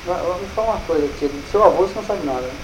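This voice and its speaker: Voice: medium pitch, expressive, racing, background noise. A speaker who keeps asking about family history and reads details from a document. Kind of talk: casual family conversation.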